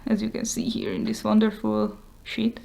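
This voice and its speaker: A woman's voice: medium pitch, completely flat delivery, moderate pace, vocal fry, dark tone, low-quality recording. A woman whose first language is Hungarian, talking casually and hesitantly with many fillers as she shows her journal. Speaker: vlogger showing her journal. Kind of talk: casual show-and-tell.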